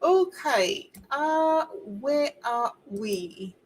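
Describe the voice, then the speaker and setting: Brief: female channel host, unhurried delivery, higher voice, chatty and wry. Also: expressive, slightly rough, poor audio. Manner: chatty, sarcastic asides with laughs. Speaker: royal news commentator. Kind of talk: casual chat to viewers.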